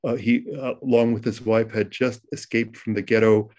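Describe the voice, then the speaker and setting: A man's voice: on the low side, little pitch movement, normal speed, a little mellow. A middle-aged man whose first language was Ukrainian, speaking at a panel with many ums and restarts. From United States. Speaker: novelist guest. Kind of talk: panel discussion.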